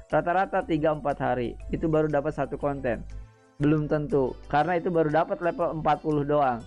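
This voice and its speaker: A man hosting a gaming channel, talking casually to his viewers, repeating himself and often pausing with hesitant fillers. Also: a little high, a little mellow. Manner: chatty, repetitive, frequent hesitant fillers. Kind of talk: casual explainer chat to viewers.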